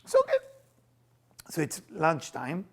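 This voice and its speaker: A middle-aged Israeli man telling stories in a playful, chatty way, his voice pitched high and full of gravel. His speech leaps up and down in pitch with every turn of a story, and laughter keeps slipping into his lines. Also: huge pitch swings, takes it slowly, fairly bright tone. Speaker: spiritual teacher and podcast host. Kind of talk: casual storytelling chat.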